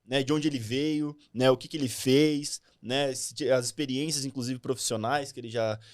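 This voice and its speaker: A man hosting a casual podcast interview, relaxed and chatty, often ending phrases with a questioning "right?". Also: mid-range voice, moderate pace. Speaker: podcast host.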